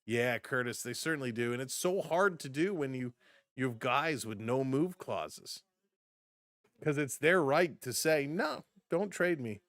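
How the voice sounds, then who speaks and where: A man calling a hockey game live for his fan stream, brisk in the action and chatty in between. His voice is mid-pitched and getting a little hoarse. The sound is close and dry, with no room around it. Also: expressive, fairly bright tone, no room sound. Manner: brisk play-by-play, chatty asides to viewers. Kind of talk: live play-by-play and chat reactions.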